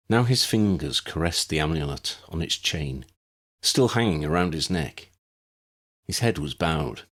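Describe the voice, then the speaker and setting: A man's voice: low-pitched, a bit bright, husky. A man reading scripted story narration as an audiobook narrator.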